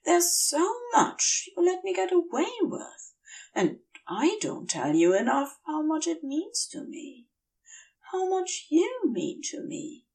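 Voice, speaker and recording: A woman voicing a gentle, adoring male celestial being, earnest and tender in a story reading. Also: very high voice, huge pitch swings, takes it slowly, recorded from a distance, voice pushed up, exaggerated voice, poor audio. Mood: earnest, emotional.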